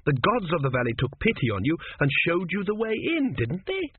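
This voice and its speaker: A man's voice: a little high, wildly swooping pitch, brisk, voice pushed up. A man voicing an earnest, anxious young prince who pleads and worries. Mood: pleading. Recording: tinny phone-like sound, faint hiss.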